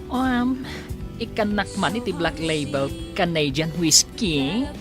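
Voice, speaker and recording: A woman's voice: fairly low pitch, animated, slow. An actress playing a flirtatious, coy female bar customer who drawls her interjections in an Ilocano comedy radio drama. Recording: radio drama with music behind.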